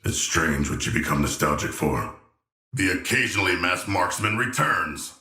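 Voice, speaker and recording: A man's voice: sits low, expressive, normal speed, bright, forceful tone, roomy sound, exaggerated voice. A man voicing a hulking, earnest, literal-minded shark warrior in a video game, speaking in formal, grandiose, archaic phrases. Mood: earnest, musing. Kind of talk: video game character dialogue.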